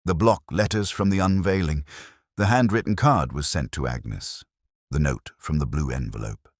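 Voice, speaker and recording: A man reading a scripted mystery story as narrator, measured and dryly understated. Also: rumbling low, dry recording, studio quality.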